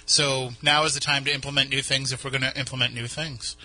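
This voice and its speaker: A man's voice: medium pitch, little pitch movement, brisk, sharp tone, low-quality recording. Chatty New England radio host man. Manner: chatty, rambling with restarts. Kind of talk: casual on-air banter. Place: radio studio. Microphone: studio microphone with headphones.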